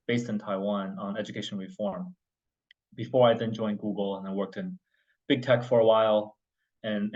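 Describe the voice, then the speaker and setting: A man in his forties from Oklahoma speaks as a panelist on a video call. His voice is low and the call audio sounds dull. He talks in a relaxed, thoughtful way with small restarts. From United States (Oklahoma).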